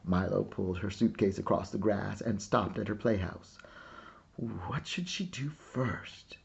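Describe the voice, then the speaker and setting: Guy reading a kids book, takes his time, animated, mellow voice, slightly muffled recording. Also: on the low side, gravelly. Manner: deliberate, animated storytelling. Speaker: storybook reader. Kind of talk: expressive children's story narration.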